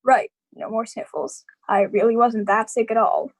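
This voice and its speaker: Woman as a lonely, halting, higher-voiced uncle. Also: fairly even intonation. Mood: evasive. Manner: hesitant, halting. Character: lonely, sad, self-pitying uncle, evasive with child. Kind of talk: live radio drama acting.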